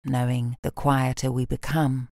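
Very low, slow British woman guiding meditation. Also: creaky, no echo, studio quality. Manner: calm, soothing, gently instructing with long pauses. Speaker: yoga nidra meditation guide. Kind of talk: guided relaxation meditation.

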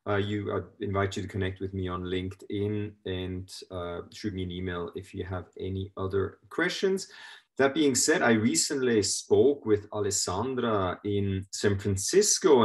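A middle-aged man with a Swiss accent presenting a webinar over a video call, upbeat and quick with many fillers. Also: on the low side. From Switzerland. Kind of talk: casual presentation. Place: home room, indoors.

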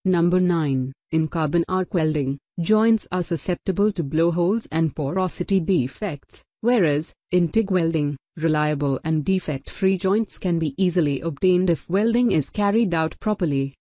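A woman narrating a scripted technical lesson as a voice-over, in an even, measured reading. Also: fairly low pitch, no room sound, studio quality. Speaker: lecturer.

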